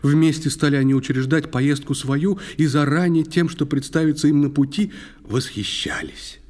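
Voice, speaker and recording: Mellow-voiced man telling a tale. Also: on the high side, moderate pace. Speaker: narrator of a children's musical audio play. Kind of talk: theatrical verse narration. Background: music.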